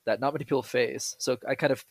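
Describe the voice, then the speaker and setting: Young adult male guest from Canada, joking and energetic, mid pitch, dry close sound. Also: quick pace, dry recording. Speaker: guest, public speaking coach and YouTuber. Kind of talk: casual podcast interview.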